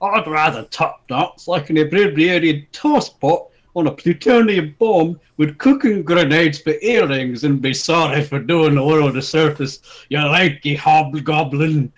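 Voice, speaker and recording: A man's voice: a little high, measured pace, hardly any pauses, pitched above the performer's own voice. A man voicing crude, belligerent hooligans in a comic story, hurling insults in mangled slang. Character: two brawling loutish hooligans, crude and belligerent.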